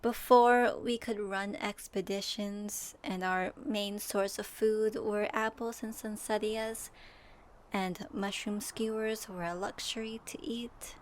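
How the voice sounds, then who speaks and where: A woman playing an earnest, gently wry young traveler in an ASMR audio roleplay, soft and thoughtful. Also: mid-range voice, steady tone, takes it slowly, close to an everyday voice. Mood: sheepish, earnest. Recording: faint noise and ambience.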